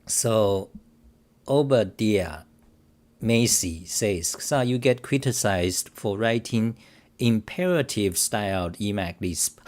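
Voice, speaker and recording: A man's voice: fairly low pitch, a little mellow. A man hosting his own livestream, talking off the cuff about code, reading chat aloud and answering viewers, with many restarts and emphatic repeats. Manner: rambling, repetitive restarts, defensive and emphatic; reads chat aloud, addresses viewers directly. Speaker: live-stream talk show host. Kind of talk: unscripted coding livestream rant.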